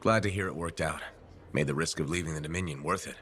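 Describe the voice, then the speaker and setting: An actor playing a laconic, steady freelance soldier in a video game, with a dry, deadpan manner. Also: male voice, a bass voice, fast talker. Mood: calm, sympathetic. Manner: deadpan, brief replies.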